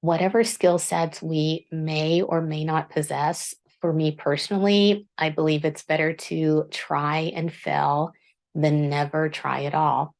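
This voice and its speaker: A woman author hosting her book channel, speaking in a low voice in an earnest, self-deprecating, measured monologue. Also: steady tone, creaky, a little mellow. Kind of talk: reflective scripted monologue.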